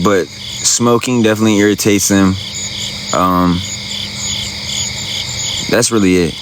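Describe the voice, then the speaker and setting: A man with a very low voice chats to his viewers in a loose, rambling, slangy way. He is streaming from a phone outdoors at night, with crickets and insects loud behind him. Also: background noise. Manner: laid-back, rambling, slangy. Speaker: livestreamer. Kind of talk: casual livestream chat answering viewers.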